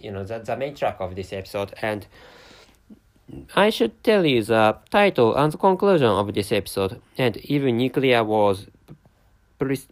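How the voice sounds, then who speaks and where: Japanese-accented male podcaster, non-native English, plodding, self-correcting, lively intonation. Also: medium pitch. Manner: halting, many restarts and self-corrections. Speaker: podcast host. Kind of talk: solo podcast monologue.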